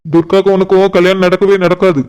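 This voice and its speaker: A defiant young servant in a village cartoon, played by a man, with a smooth, clear voice on the high side. He talks back firmly and tauntingly in a Kanyakumari Tamil accent. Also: level tone, fast talker, darkish tone, close to an everyday voice. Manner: taunting, firm. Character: defiant, unafraid young male house servant. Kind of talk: village cartoon drama acting.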